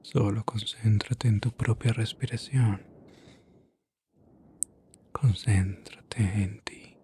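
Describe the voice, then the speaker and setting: A man playing a gentle vampire in an ASMR roleplay, murmuring and whispering soft, breathy reassurance with long pauses to lull someone to sleep. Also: fairly low pitch, fairly even intonation, slight background noise. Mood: tender, soothing. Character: gentle male vampire soothing an adventurer to sleep.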